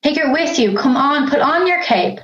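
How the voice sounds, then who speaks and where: A woman playing a brisk, impatient, nagging mother in an audio drama. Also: high voice, expressive, fairly bright tone, reverberant, highs cut off.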